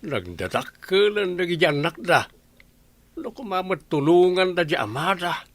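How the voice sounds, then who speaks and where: Creaky, grumbling old father. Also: sits high, lively intonation, normal speed, no room sound. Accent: Filipino. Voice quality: gravelly. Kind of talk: Filipino radio drama acting.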